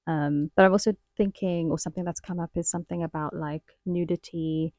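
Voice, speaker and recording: Woman, low mellow voice, curious and musing, casual chat, dry sound. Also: fairly even intonation, fairly quick, no room sound. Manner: curious, musing, backchannels with soft mm's. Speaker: dance review co-host. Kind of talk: casual conversational review.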